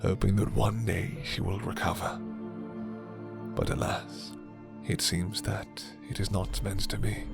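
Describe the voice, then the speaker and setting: Guy doing a menacing vampire lord for asmr roleplay, courtly and condescending, really slow, lowish voice, music behind. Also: flat delivery, vocal fry, exaggerated voice. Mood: brooding, sorrowful. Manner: slow, deliberate, theatrical, courtly. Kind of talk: ASMR roleplay character acting.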